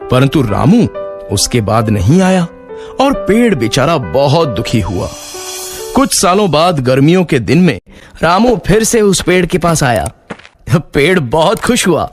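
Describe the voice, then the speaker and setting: A male storyteller reads a children's moral tale in a scripted style. His voice sits a little high and moves with very lively intonation, over a faint music bed. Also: a little slow. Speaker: storyteller, narrator.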